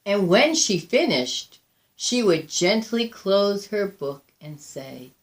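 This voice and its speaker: A woman reading a children's picture book aloud in a deliberate, expressive storytelling manner, with drawn-out emphasis and pauses. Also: medium pitch. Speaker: volunteer picture book reader.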